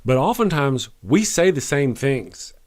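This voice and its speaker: A man with a Texan accent hosting a live stream, commenting in an earnest, plain-spoken and sometimes halting way. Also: mid-range voice, very animated, no room sound, clean studio sound. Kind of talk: casual commentary.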